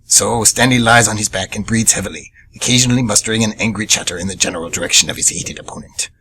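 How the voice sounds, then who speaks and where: A man narrates a scripted story in a low, bright voice. He reads at a quick clip for a radio theatre piece. Some background noise sits under the recording. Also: low-quality recording.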